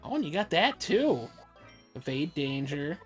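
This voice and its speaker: A woman's voice: low-pitched, big pitch swings, a little slow. Casual woman gamer over faint music. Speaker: game player. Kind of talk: casual gameplay chat.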